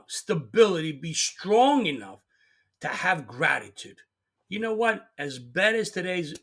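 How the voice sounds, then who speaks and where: Bright-voiced earnest middle-aged man. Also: fairly high pitch. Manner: earnest, emphatic, repeats words when stressing. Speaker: host. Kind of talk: motivational talk to camera.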